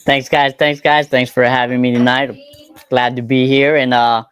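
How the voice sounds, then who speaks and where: A man's voice: medium pitch, flat delivery, normal speed, fairly bright tone, clear, resonant tone, no echo. A man chatting casually as a show guest, jokey and fond of repeating catchphrases. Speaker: podcast guest. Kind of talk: casual chat.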